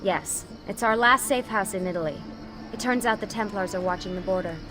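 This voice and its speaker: A woman's voice: mid-range voice, fairly quick, lightly acted. An actress playing a composed, serious woman who leads a covert team in a video game cutscene. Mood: explaining. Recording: clear music underneath. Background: music.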